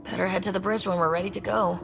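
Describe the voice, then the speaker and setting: A woman's voice: mid-range voice, steady tone, fast, vocal fry, over music, natural voice. An actor playing an earnest, resolute young human woman who leads a space expedition in a video game. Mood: determined. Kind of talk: video game cutscene acting.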